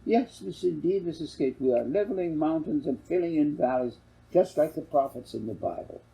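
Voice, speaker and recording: A man's voice: fairly high pitch, expressive, normal speed, vocal fry, dark tone, voice pushed up, low-quality recording. A man voicing a slick, ingratiating land salesman who blusters and stammers in a storybook reading. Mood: flustered. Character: slick, flustered salesman pushing a land scheme.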